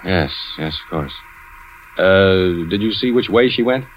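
An actor playing an anxious, suspicious husband, narrating hesitantly in a 1940s radio drama. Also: male voice, low-pitched, expressive, a little slow. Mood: uneasy. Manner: hesitant, brooding confession. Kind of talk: 1940s radio acting, first-person narration. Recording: dull 1940s radio recording, music behind.

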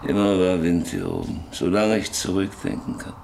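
An actor playing a sick, stubborn, lonely old rancher in a German radio play, halting and wistful, lost in memories. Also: male voice, low voice, big pitch swings, vocal fry, a little mellow, heavily acted. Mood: wistful, haunted. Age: older. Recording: noisy radio play with ambient sound.

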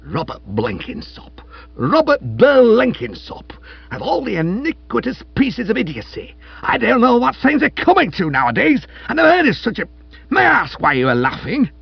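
An indignant, domineering middle-aged wife from a comic storybook. She is screechy and emphatic, pitched high with wild swings. The recording is slightly dull. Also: big pitch swings, normal speed, creaky, husky, no echo, heavily acted. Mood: scolding, furious. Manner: emphatic, scolding.

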